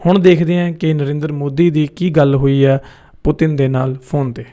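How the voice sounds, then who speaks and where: A Punjabi man comments casually as host of a reaction channel. His voice sits a little high and stays level, with a soft, mellow tone. He slips English words into his talk. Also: flat delivery, normal speed. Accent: Indian Punjabi. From India.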